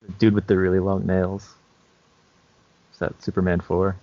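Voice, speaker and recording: A man's voice: low voice, level tone, normal speed, lo-fi sound. A man co-hosting a podcast, explaining things casually in a hesitant, easygoing way. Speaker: podcast co-host.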